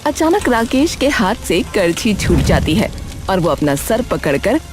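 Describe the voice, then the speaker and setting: A woman's voice: mid-range voice, very animated, a little slow, music in the background. A woman narrating a scripted cartoon story.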